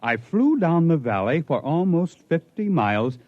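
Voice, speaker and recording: Haunted, obsessive stranded captain, brooding storyteller, highly animated pitch, dull vintage radio sound. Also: male voice, no room sound. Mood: wistful, brooding. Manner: dramatic storytelling with brooding pauses. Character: stranded male spaceship captain recounting his alien ordeal, haunted, obsessive. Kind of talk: 1950s radio drama acting.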